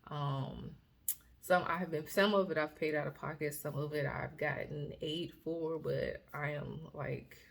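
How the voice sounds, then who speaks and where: A woman vlogger chatting casually to her viewers, rambling with fillers and restarts, her voice a little hoarse. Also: sits low, steady tone, unhurried. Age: adult student. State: slightly hoarse. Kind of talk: casual personal vlog update.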